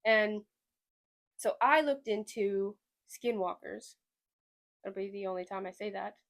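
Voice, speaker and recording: A woman's voice: mid-range voice, unhurried. Chatty young woman with slight Southern twang. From Sweden. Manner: rambling, chatty, hedges a lot. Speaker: podcast host. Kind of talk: casual chat.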